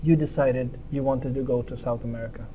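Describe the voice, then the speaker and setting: A man's voice: mid-range voice, fairly even intonation, a little fast, mellow, a little hiss or hum. A middle-aged Swedish man with a Swedish accent, interviewing a guest in a friendly, hesitant way. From Sweden. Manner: friendly, hesitant, many fillers and restarts. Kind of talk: casual interview. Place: indoor lounge, seated at a table.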